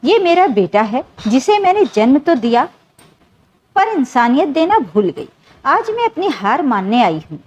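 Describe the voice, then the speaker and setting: A woman's voice: high voice, big pitch swings, measured pace, darkish tone. A woman voicing a wronged, remorseful older mother in a story, confessional and sorrowful.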